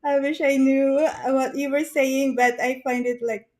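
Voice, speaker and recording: Slow-talking high-voiced woman host. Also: little pitch movement, darkish tone. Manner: laughs often, friendly and eager. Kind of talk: live-streamed interview.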